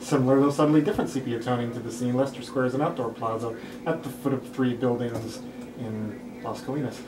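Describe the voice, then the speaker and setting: A man's voice: medium pitch, fairly even intonation, quick pace, crowd noise. A man reading screenplay stage directions aloud at a table read. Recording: echoey, noisy room, distant mic.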